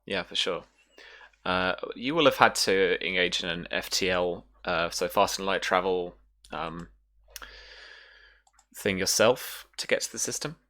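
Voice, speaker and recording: Male game master, low-pitched with a bright edge, feeling his way haltingly through tabletop narration. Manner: hesitant, halting delivery.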